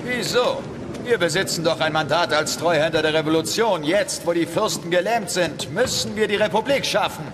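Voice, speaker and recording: An actor playing a defiant, impatient radical republican of 1848 in a historical docudrama, declaiming with urgency. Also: male voice, sits high, moderate pace, close to an everyday voice. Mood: defiant, urgent. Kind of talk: dramatized documentary acting. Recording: noisy, reverberant hall.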